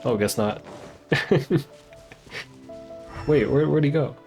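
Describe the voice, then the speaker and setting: Slow, laid-back male game streamer. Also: mid-range voice, gravelly, darkish tone, faint music behind. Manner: laid-back, wry, joking. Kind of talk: casual live game commentary.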